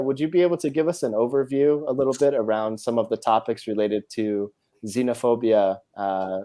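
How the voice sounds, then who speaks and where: A man moderating a panel discussion, speaking in a measured way with frequent filler words. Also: medium pitch, warm tone.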